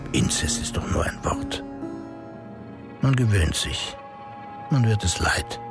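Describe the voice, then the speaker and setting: An actor playing a cynical, scheming male power broker in a radio drama, talking in a cold, calculating inner monologue. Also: low voice. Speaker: politician character. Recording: studio radio play with music.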